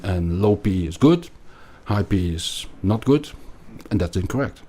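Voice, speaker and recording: A man with a Belgian accent explaining things as an interview guest, haltingly and emphatically, often repeating words. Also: deep voice, expressive, warm tone. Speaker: podcast guest, investment analyst.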